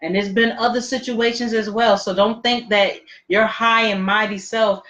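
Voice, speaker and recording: A woman's voice: a little high, steady tone, moderate pace, fairly bright tone. A woman co-hosting a casual livestream chat show, giving earnest, preachy motivational advice. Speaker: podcast co-host. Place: indoors, livestream. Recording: dull lo-fi livestream audio.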